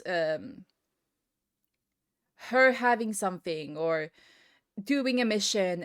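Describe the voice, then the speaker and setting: A woman speaks as a video creator sharing an informal rant with viewers. Her delivery is conversational and unscripted, moving in halting phrases. She puts clear emphasis on the points she cares about. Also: medium pitch, a bit bright. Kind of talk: casual opinion rant to viewers.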